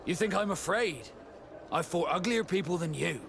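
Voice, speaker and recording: Animated, proud sea captain. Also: male voice, sits high, moderate pace. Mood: defiant. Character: proud, hot-headed sea captain, a young adult man. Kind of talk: video game cutscene acting. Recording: clear background ambience noise.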